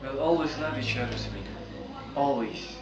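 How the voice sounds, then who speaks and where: A man's voice: mid-range voice, moderate pace, natural voice. An actor playing a man devoted to his lover, with an earnest, imploring delivery. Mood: tender, soothing. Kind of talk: melodramatic stage acting. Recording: dull, noisy, echoey recording.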